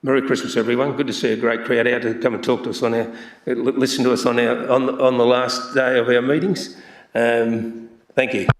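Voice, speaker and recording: A man speaking as a councillor at a council meeting, plain-spoken and halting, often repeating his words. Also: mid-range voice, flat delivery. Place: council chamber. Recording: echoey council chamber.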